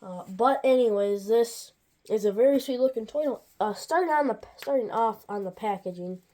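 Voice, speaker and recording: Stuffy-nosed woman with a mellow voice. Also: fairly high pitch, normal speed. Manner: rambling, with many asides and restarts. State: stuffy nose. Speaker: farm toy channel host. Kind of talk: casual toy review.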